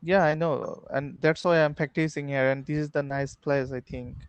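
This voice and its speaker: Bangladeshi man, twenties, English learner. Smooth, lightish voice, hesitant casual conversation by phone. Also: a little high, fairly even intonation, moderate pace, dry recording, dull audio. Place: video call on a phone.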